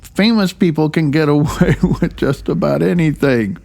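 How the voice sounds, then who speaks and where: A man from the United States reading his own book as an author, in a halting, opinionated way with loose spoken asides. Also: a little high, gravelly, darkish tone. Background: faint music.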